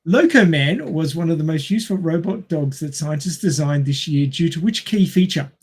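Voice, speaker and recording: Higher-pitched Australian man, slightly husky. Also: lively intonation, normal speed, darkish tone. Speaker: podcast host reading quiz questions. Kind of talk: casual group quiz chat.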